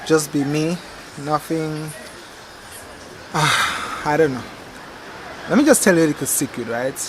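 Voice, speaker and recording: A man's voice: on the high side, background noise. Chatty man gardening outdoors. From Dominica. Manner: relaxed and chatty, self-correcting, laughs at his slips. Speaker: gardening channel host. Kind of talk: casual rambling chat to camera. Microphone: camcorder microphone.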